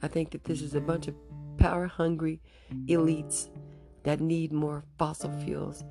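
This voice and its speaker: A woman hosting her own podcast, speaking in a rambling, casual monologue with a raspy voice she is losing, and breaking into nervous laughs. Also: low voice, flat delivery, unhurried, music in the background. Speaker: podcast host.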